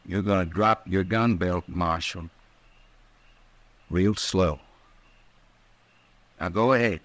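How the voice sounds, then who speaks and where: An actor playing a distraught outlaw in a 1950s American radio western, with a halting, rustic drawl. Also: male voice, low voice, fairly bright tone. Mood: menacing. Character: desperate, distraught Western outlaw gunman in love.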